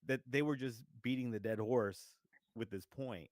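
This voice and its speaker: A man co-hosting a radio chat show, telling animated stories and getting riled up about pet peeves. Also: mid-range voice, moderate pace, dry recording, studio quality. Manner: animated storyteller, gets riled up. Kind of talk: casual chat.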